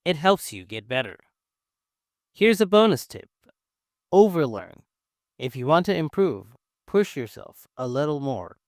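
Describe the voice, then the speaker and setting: A young man with a Chinese accent, Mandarin his first language, gives an encouraging English lesson in plain, simple words. His voice sits fairly high and moves a great deal in pitch. The sound is dry and close, with no room echo. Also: sing-song, a little slow, pauses often. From China. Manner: encouraging, clear and simple phrasing. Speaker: English teacher. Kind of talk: scripted English-learning lesson.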